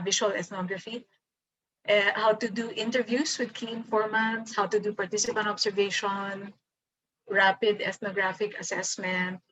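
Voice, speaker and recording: A woman's voice: medium pitch, fairly bright tone. A woman with a Filipino accent giving a workshop lecture over a video call, switching between English and Tagalog and thinking aloud. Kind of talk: online seminar lecture. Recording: dull lo-fi video call audio.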